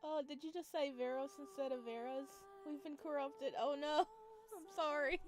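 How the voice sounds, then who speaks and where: A woman's voice: sits high, steady tone, moderate pace. A reactive, giggly woman streaming a visual novel, commenting and reading its text aloud, with music behind. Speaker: game streamer.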